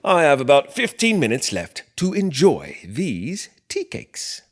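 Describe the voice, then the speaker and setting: Posh polite slasher killer, chatty and fussy, really animated pitch, horror comedy audio play. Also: male voice, a little slow, heavily acted. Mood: blithely polite. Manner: genteel, wordy, blithely matter-of-fact.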